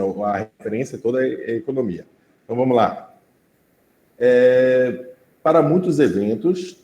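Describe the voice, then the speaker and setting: A Brazilian man gives a relaxed, chatty lecture, checking in with his students as he goes. His voice is mellow and sits at a middling pitch. The sound has a roomy echo. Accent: Brazilian Portuguese. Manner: conversational, checks in with the class with tag questions. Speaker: teacher. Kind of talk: informal class lecture.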